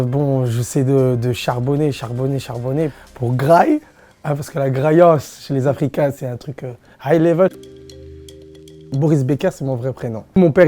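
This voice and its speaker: A young man talks in a relaxed, playful, slangy way and leans on fillers. His voice is mellow and sits at an ordinary pitch. He sounds like a dancer and online comedian chatting in an interview. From Belgium. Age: 20s. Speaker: dancer and social media comedian. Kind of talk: casual interview answers.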